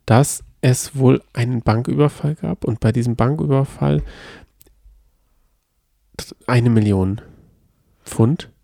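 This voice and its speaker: A man co-hosting a casual podcast chat, calmly retelling a detective story and teasing about spoilers. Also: medium pitch, takes it slowly, creaky, long pauses, no echo. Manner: explains calmly and at length, playful about spoilers. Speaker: podcast co-host. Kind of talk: casual chat about a radio play series.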